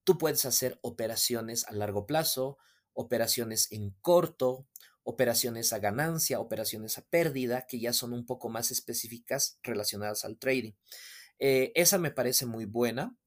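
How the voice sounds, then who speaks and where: A man with a mid-range voice guests on a casual podcast. He explains things in a measured, patient way and fills his pauses with "eh". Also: medium pitch.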